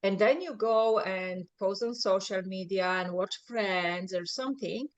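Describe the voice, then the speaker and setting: A woman's voice: medium pitch, a little slow. Polish lady coach talking earnest, keeps asking right?, muffled lo-fi sound. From Poland. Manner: earnest, often checks in with "right?". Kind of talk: coaching advice in an interview. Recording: muffled lo-fi audio.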